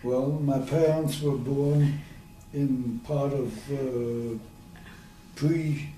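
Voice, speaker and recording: A man, recalling his life in an oral history interview, slow and hesitant with many pauses. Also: medium pitch, fairly even intonation, mellow. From Austria. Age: 90s. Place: room at a community center. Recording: echoey room, distant mic, faint hiss.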